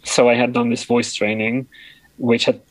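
A man with a deep voice giving a personal account in an interview, often pausing and searching for words. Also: flat delivery, no echo, rough recording. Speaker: interview guest.

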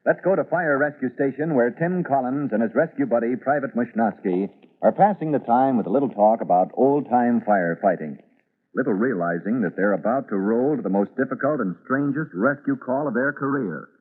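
A man's voice: medium pitch, expressive, a little fast. A man narrating an old-time radio adventure serial as announcer, in a dramatic, theatrical manner. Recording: muffled, tinny old radio recording.

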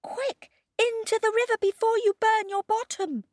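Kojo, a woman doing a cheeky high trickster hare. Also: animated, a little slow, no room sound, studio quality. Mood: mock alarm. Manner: high, bouncy and mischievous. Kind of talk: children's storybook character voice.

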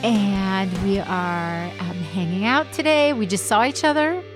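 A woman's voice: medium pitch, measured pace, music in the background. A woman co-hosting a podcast in casual chat, talking in an upbeat, chatty way and laughing easily. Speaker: podcast co-host.

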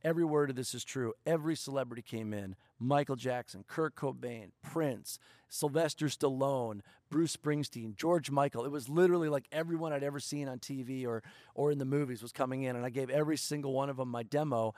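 A man's voice: medium pitch, brisk, darkish tone, dry recording. Middle-aged guy from detroit, jokey storyteller on a podcast, dry close mic. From Detroit, Michigan. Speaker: songwriter guest.

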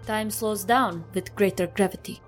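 A woman's voice: medium pitch, normal speed, soft background music, natural voice. An actress playing a formal, direct woman investigator who questions probingly in a science fiction audio drama.

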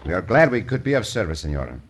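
An actor playing a gallant, self-assured Mexican adventurer in a radio western, with a Mexican accent and formal, courteous speech. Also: male voice, low-pitched, very animated, very fast, slight background noise. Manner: courteous, commanding, formal English. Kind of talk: old-time radio western acting.

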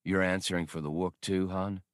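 A man voicing a proud, gentle old adventurer who speaks in a measured, quiet way for an audiobook. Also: sits low, little pitch movement, no room sound, clean studio sound, natural voice. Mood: neutral, quiet.

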